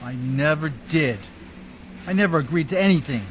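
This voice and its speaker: A man playing a rough, exasperated, hard-drinking riverboat skipper in an old-time radio drama, with folksy uneducated speech. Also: fairly high pitch, normal speed, a little hiss or hum, lightly acted. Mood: angry, stubborn. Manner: uneducated folksy speech, full of ain't and miss.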